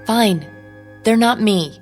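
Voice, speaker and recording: A stubborn but anxious young woman of eighteen in a radio play. Her voice sits a little high and she speaks with hesitant, pleading earnestness. Music runs underneath. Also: slow pace. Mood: uneasy, doubtful. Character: anxious, stubborn eighteen-year-old woman resisting a beautifying transformation. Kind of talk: radio drama acting.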